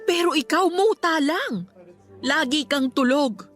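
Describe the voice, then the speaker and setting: A woman playing a concerned middle-aged mother who gently scolds her grown child in a Tagalog radio drama. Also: high voice, takes it slowly, faint music behind. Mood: exasperated, questioning.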